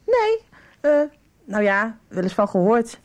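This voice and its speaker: A woman's voice: fairly high pitch, big pitch swings, takes it slowly. Coy teen girl of nineteen in a radio soap, bit guarded, voice moves around a lot. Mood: coy, teasing. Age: young adult. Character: coy, wary nineteen-year-old young woman, frank and playful. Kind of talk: 1990s Dutch radio soap dialogue.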